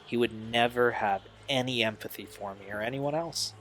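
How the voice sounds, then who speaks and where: An actor playing a troubled young man in an audio drama, speaking in an earnest, halting, confessional way. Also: mid-range voice, fairly bright tone, soft background music, close to an everyday voice. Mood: defensive, pained. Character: Steve, troubled young man recalling a painful family past.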